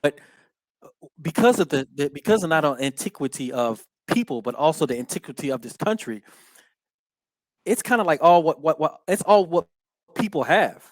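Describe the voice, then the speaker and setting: A man hosting a casual podcast chat, filling his talk with "you know what I'm saying" and giving steady "mm-hmm" as his guest talks. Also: a little high, moderate pace, no echo. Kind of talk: casual conversation.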